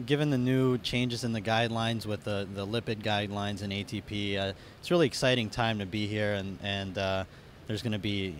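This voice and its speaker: A man's voice: lowish voice, a little hiss or hum. A man in his thirties answering questions in an on-camera interview with a handheld microphone. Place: large convention hall. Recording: faint convention hall ambience.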